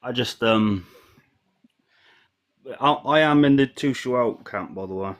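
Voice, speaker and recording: A man's voice: mid-range voice, unhurried. A man calls in to a football chat show and talks casually. He often hesitates, trails off mid-thought and starts over. His voice sits in a mid range. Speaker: caller.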